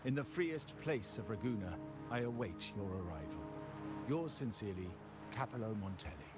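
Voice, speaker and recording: Music plays behind a man acting as a formal crime-family heir in a video game. His voice is low and swings expressively. He speaks in courtly, measured phrases with dramatic pauses, composed and self-possessed. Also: animated, natural voice. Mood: courteous, solemn. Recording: background music under the voice.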